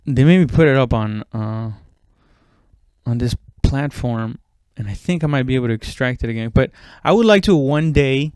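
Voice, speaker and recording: A man's voice: mid-range voice, lively intonation, normal speed, a little mellow, clean tone, no room sound. A man giving a casual, rambling comedic monologue, self-deprecating and swearing freely. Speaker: comedian and podcast host.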